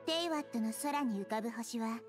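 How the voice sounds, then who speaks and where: Woman doing calm wise child goddess, anime game, high gentle voice, explains things, music behind. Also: natural voice. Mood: thoughtful. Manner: gentle, measured, instructive. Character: small wise childlike girl deity, calm and thoughtful.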